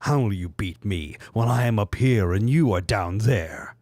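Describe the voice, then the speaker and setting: A man's voice: fairly low pitch, unhurried, a little mellow, no echo, pitched below the performer's own voice, lightly acted. A man voicing a gloating, vengeful monster villain with pompous threats in a mock-dramatic fanfiction reading. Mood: gloating menace. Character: menacing villainous Pokemon clone, gloating and vengeful.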